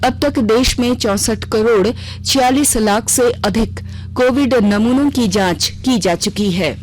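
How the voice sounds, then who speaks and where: A woman's voice: a little high, a little slow, darkish tone, low-quality recording. A woman reading a scripted regional news bulletin on the radio as the news reader.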